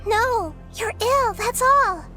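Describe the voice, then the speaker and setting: Childlike game goddess: very high pitch, earnest, gentle, softly formal, scored backing. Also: female voice, lively intonation, slow pace, full character voice. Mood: pleading. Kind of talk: video game voice acting. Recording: music underneath.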